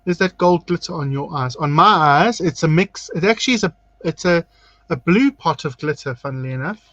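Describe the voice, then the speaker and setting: A man's voice: fairly high pitch, a little fast, a bit bright. A man from South Africa hosting a casual drag livestream, chatty and camp, reading out viewer comments. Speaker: drag queen host. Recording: dull lo-fi sound, dry.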